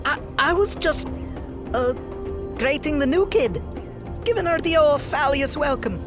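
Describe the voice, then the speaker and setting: Woman as a slow, boastful fairy boy. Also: high voice, animated, over music. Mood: flustered. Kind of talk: fantasy audio drama character acting.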